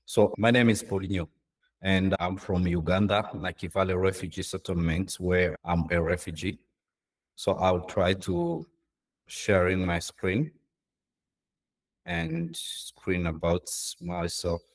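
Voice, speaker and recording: A man with a deep voice gives an informal presentation as a guest on a video call. His delivery is earnest and halting, with non-native English phrasing. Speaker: guest speaker, social entrepreneur. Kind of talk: informal presentation of own work.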